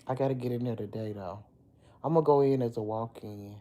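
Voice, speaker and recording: Middle-aged woman, low deep dark voice, slightly hoarse, chatty livestream host. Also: brisk. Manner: chatty, talks back to commenters by name. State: a little hoarse. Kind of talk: casual livestream chat with viewers.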